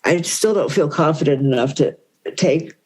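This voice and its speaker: Give me an older woman chatting haltingly in an interview, modest and unsure of herself. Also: sits low, a little mellow, husky. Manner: halting, hesitant, self-deprecating. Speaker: interview guest. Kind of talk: casual conversation.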